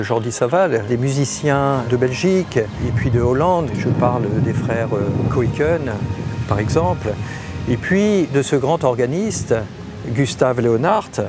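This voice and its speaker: A man in a formal, reflective interview about hosting musicians. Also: mid-range voice. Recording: music bed under voice.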